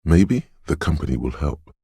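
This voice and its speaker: A man's voice: big pitch swings, normal speed, dark tone, dry recording, clean studio sound, full character voice. A man voicing a dreamy, mystical inner voice of intuition in a video game, narrating in a brooding, theatrical and intimate way. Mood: haunted, urgent. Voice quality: very deep, gravelly, husky. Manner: brooding, theatrical, addressing the listener as you.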